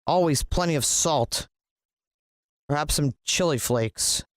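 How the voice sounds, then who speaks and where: A woman's voice: deep voice, takes it slowly, dry recording, studio quality. A woman voicing a gluttonous, cruel bearded man in a children's storybook, blustering and demanding as he bellows and mutters. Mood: scheming, gleeful.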